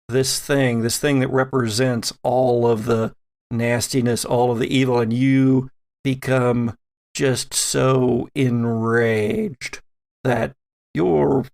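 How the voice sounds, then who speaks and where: A man's voice: medium pitch, vocal fry. A man acting as game master, narrating a tabletop role-playing game dramatically with lingering, descriptive phrasing.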